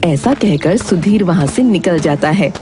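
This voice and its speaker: Animated, low-pitched woman storyteller. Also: a little slow. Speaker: storyteller, narrator. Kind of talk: scripted animated story narration. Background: music.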